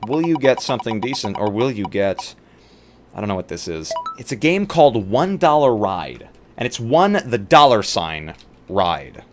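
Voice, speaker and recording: Animated man chatting on a game livestream. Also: on the low side, normal speed, a little hiss or hum. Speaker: livestream host. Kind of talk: casual game-stream chat. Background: music.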